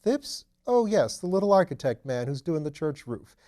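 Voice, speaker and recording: Man acting out an airy aristocratic gentleman detective, amiable, courteous, raised pitch, soft-edged tone, storybook dialogue. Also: big pitch swings, normal speed. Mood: breezy, courteous. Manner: breezy, leisurely, courteous. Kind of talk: audiobook character dialogue.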